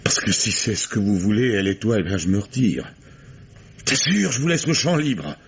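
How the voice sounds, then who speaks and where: An actor playing a weary middle-aged husband who has been drinking and talks in a hesitant, rambling, self-pitying way, in an old radio play. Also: male voice, on the low side, animated, normal speed, a little hiss or hum. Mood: rueful. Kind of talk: 1950s French radio drama acting. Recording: muffled old radio broadcast.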